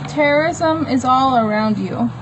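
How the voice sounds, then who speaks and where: A woman's voice: high voice, measured pace, noisy background. A woman reading her own homemade comic aloud in a plain, unpolished way, with informal explanatory asides. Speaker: comic book author reading own work.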